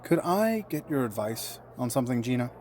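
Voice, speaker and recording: A man plays a polite, guarded friend in an audio drama. His mid-pitched voice is measured and formal, over light background ambience. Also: big pitch swings, a little mellow, a little hiss or hum, lightly acted. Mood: troubled.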